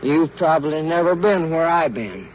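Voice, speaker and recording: Drunk, rambling man, higher-pitched, noisy recording. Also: animated. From Arkansas, United States. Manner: rambling, halting, repeats himself. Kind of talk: spontaneous answers to police questioning. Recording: muffled, noisy old field radio recording.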